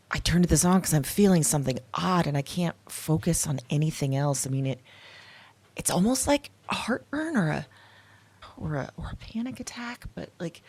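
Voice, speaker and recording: An actress playing a shaken, anxious woman alone, confiding haltingly into a night journal in an audio drama. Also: low-pitched, darkish tone, breathy edge. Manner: hesitant, confiding, halting self-talk. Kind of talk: intimate audio drama monologue. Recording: music under the voice. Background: music.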